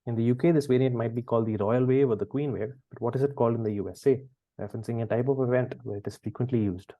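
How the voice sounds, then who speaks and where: A man's voice: mid-range voice, fast talker, dry recording, highs cut off. A man hosting a quiz, reading questions and chatting conversationally with the players. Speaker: quiz host.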